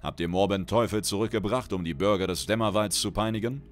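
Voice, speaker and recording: A man's voice: lowish voice, fast, close to an everyday voice. Man playing a stern, curt spymaster. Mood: demanding. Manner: demanding, curt. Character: stern, mocking male spymaster, commanding and terse.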